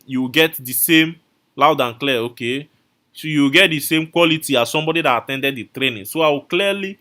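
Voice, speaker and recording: A man's voice: a little high, bright, forceful tone. A man teaching a live online coding class, repeating his instructions and calling out viewers' names from the chat. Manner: repetitive, coaxing, calls out viewer names.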